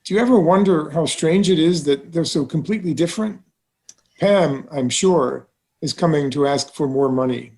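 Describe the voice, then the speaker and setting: A genial banker uncle, acted by a man with a fairly high voice. He speaks carefully, as a cautious trustee would, in the scripted dialogue of a musical table read. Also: moderate pace, a little mellow, natural voice. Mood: measured, avuncular. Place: recorded online.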